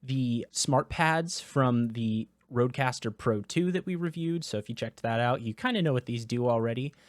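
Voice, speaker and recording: Male tech reviewer, mid-pitched, relaxed explainer with fillers, dry close-mic sound. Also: normal speed, no echo. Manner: casual, explanatory, with filler words. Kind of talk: product review walkthrough. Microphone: dynamic USB microphone, close.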